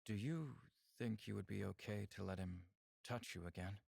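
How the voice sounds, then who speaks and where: An actor playing a calm, caring alien man in a visual novel, speaking in a measured, earnest way. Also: fairly low pitch, creaky, slightly rough, no room sound, studio quality, heavily acted. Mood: curious, probing. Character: calm, caring male alien, protective symbiont host.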